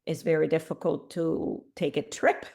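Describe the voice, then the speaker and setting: A middle-aged woman from Cuba, a podcast guest, telling her life story in an animated way, with restarts and laughs. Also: sits low, a little slow, vocal fry, slightly rough.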